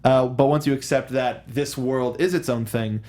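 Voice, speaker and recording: A man co-hosting a movie review show in a casual, animated way, throwing in mock outbursts. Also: medium pitch, moderate pace. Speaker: co-host of a film review show. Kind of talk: casual film discussion.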